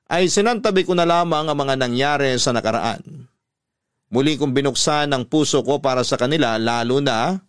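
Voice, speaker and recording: A Filipino man playing an earnest letter writer, narrating his family story in a measured, confiding radio drama style. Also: mid-range voice, no room sound, studio quality, natural voice. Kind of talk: scripted first-person radio drama narration.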